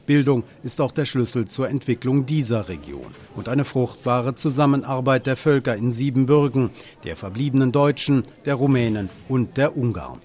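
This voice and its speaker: A man reading a scripted news report as a documentary voice-over, in an even, measured way. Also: mid-range voice.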